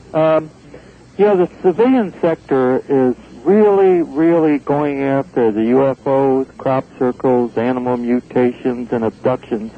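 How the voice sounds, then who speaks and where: A man's voice: medium pitch, unhurried. A man speaking as a caller to a radio show, asking a question conversationally over the telephone. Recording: tinny phone line with faint noise.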